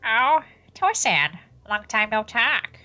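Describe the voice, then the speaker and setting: A woman's voice: on the high side, very animated, slow, pushed and bright, soft background music, pitched above the performer's own voice, exaggerated voice. A woman voicing a gleeful, polite young male undercover agent, cheerful and courteous. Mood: cheerful, sly.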